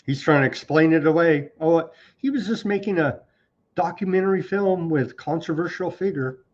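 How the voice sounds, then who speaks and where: A man's voice: on the high side, normal speed, darkish tone, dull audio. A man hosting a live online news commentary show, sarcastic and scornful as he rants through the headlines. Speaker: political commentary host.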